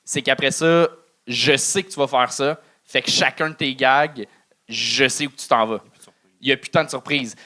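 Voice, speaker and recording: A man's voice: mid-range voice, steady tone, moderate pace, pushed and bright. A man with a Québécois accent hosting, giving candid, stammering feedback to a comedian. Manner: candid, fumbling critique with stammered repeats. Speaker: show host and judge. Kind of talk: casual feedback.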